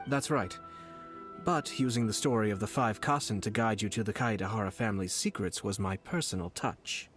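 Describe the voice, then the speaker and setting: Man acting a refined male clan lord, lowish pitch, composed, calculating, formal phrasing, video game dialogue, faint music underneath. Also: fairly bright tone, natural voice. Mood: measured, explanatory. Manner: measured, formal, courteous, composed.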